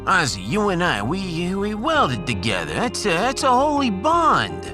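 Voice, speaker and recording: Gruff New working guy, very animated. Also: high voice, a little slow, exaggerated voice. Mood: hurt, earnest. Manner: folksy, street-tough, calls people buddy. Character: gruff, wounded blue-collar New Jersey man, ship's welder. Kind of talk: sci-fi audiobook character acting. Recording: music behind the voice.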